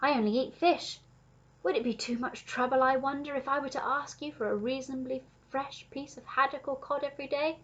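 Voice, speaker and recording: A woman's voice: sits high, a little fast, muffled recording, pitched above the performer's own voice. A woman voicing a nervous, courteous male pelican in a storybook, apologetic and fussy in manner. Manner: nervous, apologetic, screechy when excited.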